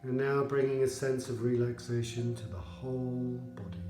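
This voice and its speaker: A man leading a guided yoga relaxation as an instructor, speaking in a measured, soothing manner with pauses. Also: medium pitch, roomy sound, music in the background. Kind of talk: guided relaxation meditation narration.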